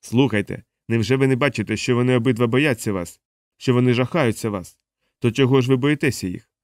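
A desperate male castaway, played by a male narrator, in a medium-pitched voice with a dry, close sound. He is defiant and bitter, throwing accusations in the style of audiobook adventure dialogue. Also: fairly quick, a little mellow, no room sound, lightly acted. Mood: defiant, accusing.

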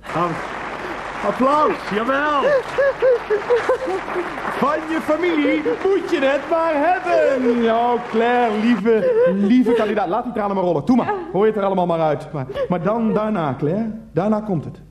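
A man's voice: extremely high pitch, animated, a little slow, reverberant, crowd noise, background noise. An actor playing a pushy, gushing TV game show host in a radio play parody, theatrical and repeating himself as he goads. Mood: gushing, goading.

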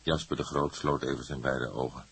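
A man's voice: very deep voice, flat delivery, normal speed, gravelly, husky. A man narrating a scripted crime story as an audiobook reader.

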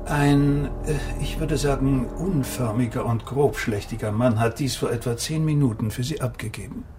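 A man's voice: medium pitch, moderate pace, natural voice. Man acting polite hotel receptionist over music. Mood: tactful, hesitant. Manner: hesitant, polite. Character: polite, hesitant male hotel receptionist, tactful. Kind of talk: German radio drama acting.

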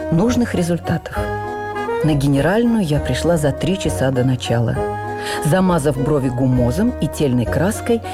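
A woman reading a memoir for radio as an actress, with measured, expressive, theatrical storytelling. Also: low voice.

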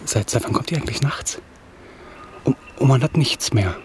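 A man's voice: mid-range voice, moderate pace, a little hiss or hum, natural voice. A man acting a distraught, self-pitying young writer in a German radio drama, rambling and repeating words as he stammers. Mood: dazed, rambling. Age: young adult.

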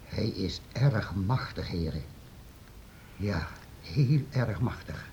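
An actor playing a timid, deferential castle servant in a period radio play, hesitant, evasive and stammering. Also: male voice, low-pitched, lively intonation, takes it slowly, creaky, darkish tone, heavily acted. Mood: wary. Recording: dull, noisy old radio recording.

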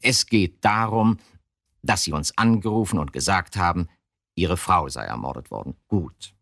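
A male police detective in a radio drama, deep and lively in pitch. He is exasperated and dutiful, pressing his point with pedantic insistence. The sound is close and dry. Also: moderate pace, vocal fry, no room sound, exaggerated voice. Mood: impatient, pressing. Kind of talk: German radio drama acting.

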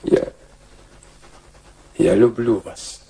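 A man's voice: on the low side, fairly even intonation, drawn-out words, warm tone, slightly rough, full character voice, low-quality recording. An actor playing a lovesick, bitter and reckless man in a radio play, theatrical, brooding and commanding. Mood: desperate goading.

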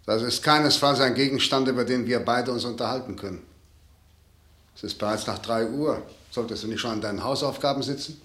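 An actor as a cold, middle-aged patriarch in a radio drama, speaking at a medium pitch. He is formal and curt, with faint noise behind him. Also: brisk, a bit bright, natural voice. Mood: cold, dismissive. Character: stern, aloof, unapproachable father, a state prosecutor. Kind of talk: German radio play acting.

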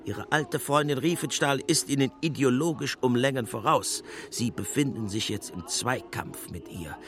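An actor playing a condescending, menacing Nazi propaganda minister in a German radio play. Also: male voice, medium pitch, normal speed, close to an everyday voice. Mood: condescending, calculating. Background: music.

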